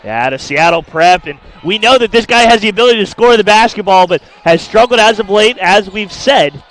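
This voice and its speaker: A man's voice: high-pitched, animated, fairly quick, fairly bright tone, poor audio. A man giving conversational basketball color commentary, agreeing with his partner and then explaining the play and the stats.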